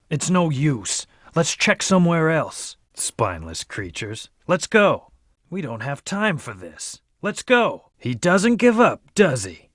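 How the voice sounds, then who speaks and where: A man's voice: mid-range voice, dry recording, studio quality. A man playing a brooding, proud young antihero in a video game, speaking in terse, serious commands with a hard edge. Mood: commanding, urgent. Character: brooding, proud, amnesiac male antihero warrior. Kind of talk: short in-game hint and battle lines.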